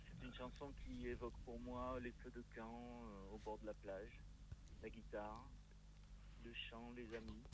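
A man calling in to a radio show, speaking casually and a little haltingly. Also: mid-range voice, little pitch movement. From France. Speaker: radio call-in listener. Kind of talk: casual phone-in chat. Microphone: telephone. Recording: faint, noisy distant phone call.

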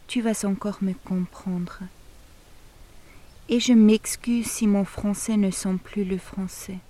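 Podcast host, woman, unhurried lyrical reader with a warm, dark voice. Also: medium pitch. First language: German. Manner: slow, intimate, lyrical delivery. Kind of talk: reading a poetic letter.

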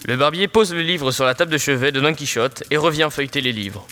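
A man's voice: on the high side, fast talker, bright, forceful tone. A teenage boy reading scripted narration for an audio story.

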